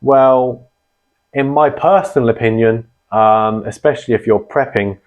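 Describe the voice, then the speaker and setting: A man's voice: medium pitch, measured pace, narrow, tinny audio. A man hosting a hobby channel, explaining things casually and informally in a rambling way, repeating points for emphasis. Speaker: host of a radio hobby channel. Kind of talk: casual explainer talk to viewers.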